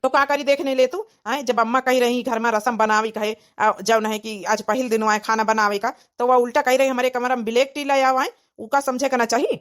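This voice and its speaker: A woman's voice: fairly high pitch, steady tone, a little fast, hardly any pauses, a bit bright, close to an everyday voice. A woman voicing a hot-tempered young village husband in a comedy cartoon, scolding and grumbling, with an Awadhi accent. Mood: aggrieved.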